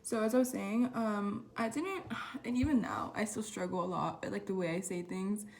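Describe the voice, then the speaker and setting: A woman's voice: medium pitch, moderate pace, gravelly. A young woman from Southern California whose first language is Spanish, chatting casually in a vlog with lots of fillers and self-corrections, in a dorm room. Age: young adult. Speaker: vlogger. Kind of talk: casual chat.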